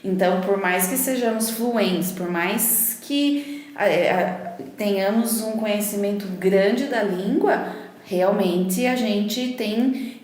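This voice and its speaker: A Brazilian woman, an English teacher, explains language points on a casual podcast, spelling words out letter by letter. Her voice sits at an ordinary middle pitch. The recording has a strong room echo. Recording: very echoey room.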